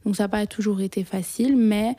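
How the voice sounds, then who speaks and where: A young woman from Belgium, answering interview questions shyly, with many pauses, fillers and restarts. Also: medium pitch, steady tone, warm tone. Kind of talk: hesitant personal interview answers.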